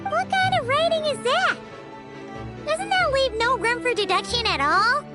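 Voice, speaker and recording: A woman voicing a small, childlike fairy companion in a video game, squeaky, excitable and easily shocked. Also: unhurried, over loud music. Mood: shocked protest.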